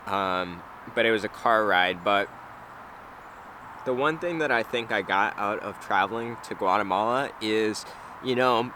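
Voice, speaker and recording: Casting: late-teen male vlogger, lowish but bright forceful voice, loose hesitant rambling delivery. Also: a little hiss or hum. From United States (Wisconsin). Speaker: travel vlogger. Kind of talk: casual unscripted vlog chat.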